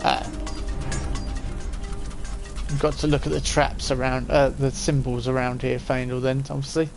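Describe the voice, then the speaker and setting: A man's voice: mid-range voice, level tone, moderate pace, soft background music. A man in his forties giving casual live-stream commentary as he plays, chatting and thinking aloud. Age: 40s.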